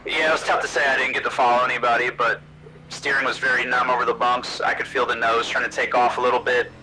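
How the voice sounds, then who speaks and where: Race driver , male actor: level pitch, calm and analytical, hesitant feedback over noisy in-car radio. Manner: measured, hesitant technical feedback. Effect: team radio filter. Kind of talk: simulated race team radio.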